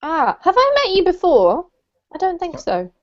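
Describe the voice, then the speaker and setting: British woman, online English teacher, high bright voice, laughs a lot, muffled call audio. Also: huge pitch swings, normal speed. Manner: cheerful, laughs often, greets students by name. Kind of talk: casual online class chat. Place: home room in New Zealand. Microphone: video call. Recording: dull lo-fi video call audio.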